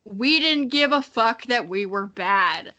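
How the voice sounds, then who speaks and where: A young woman with a bright, forward voice co-hosts a podcast. She talks in a casual, chatty way and is self-deprecating and giggly, with a loose tongue for swearing. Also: fairly high pitch, lively intonation, takes it slowly. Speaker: podcast co-host. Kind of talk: casual chat.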